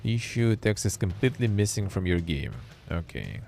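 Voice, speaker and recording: A man's voice: low voice, sing-song, normal speed, creaky, a little mellow, soft background music. A man hosting a live stream, chatting offhandedly and reading text aloud with wry asides. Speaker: streamer and show host. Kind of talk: casual live-stream commentary.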